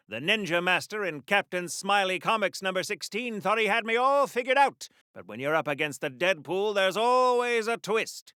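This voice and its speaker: Golden-age game superhero, very bright forceful voice, high-pitched, declamatory, cocky, patriotic, corny wisecracks. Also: male voice, clean tone, no echo, clean studio sound. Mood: suspicious, boastful. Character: bombastic 1940s patriotic superhero man, melodramatic and cocky. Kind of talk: old-time radio serial heroics for a video game.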